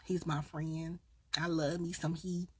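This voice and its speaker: A woman with a Southern accent, chatting casually on a live stream as a grief coach, a little tired, swinging from loud bursts to quiet asides. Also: sits low, flat delivery, unhurried, noisy background. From Southern United States. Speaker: grief coach and podcast host. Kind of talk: casual live-stream chat answering viewers.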